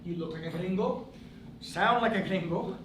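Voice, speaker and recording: A man plays a wry, sardonic brother with a slightly high voice and dry, needling delivery. He sounds distant in a roomy theatre, with clear noise behind. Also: moderate pace, natural voice. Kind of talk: stage play acting. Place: theatre stage. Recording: roomy stage recording from afar with noise.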